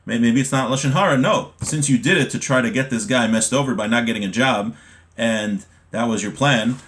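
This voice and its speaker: A man's voice: medium pitch, fairly quick, a bit bright. Conversational American man teaching a class. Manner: conversational, explanatory, checks in with "right?". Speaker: religion teacher giving an online class. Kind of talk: informal text study, reading aloud then explaining with examples.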